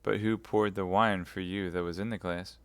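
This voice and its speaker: A man voicing a grave, stern swordsman who speaks in measured, heavy tones. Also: deep voice, fairly even intonation, dry recording. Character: grave older male swordsman, stern and broken.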